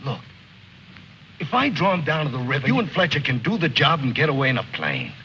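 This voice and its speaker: A man's voice: on the high side, big pitch swings, fairly quick, sharp tone, heavily acted. An actor playing a bitter, restless soldier in his thirties, brooding and sardonic, in an old film drama. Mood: bitter, driven. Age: 30s. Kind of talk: mid-century American film drama acting. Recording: muffled, hissy old film soundtrack.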